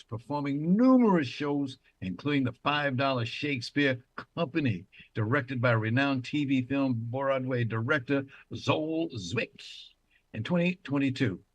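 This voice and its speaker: A man's voice: mid-range voice, expressive, moderate pace. A male talk show host with a mid-range voice. He introduces his guest formally and asks his questions politely. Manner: courteous and formal.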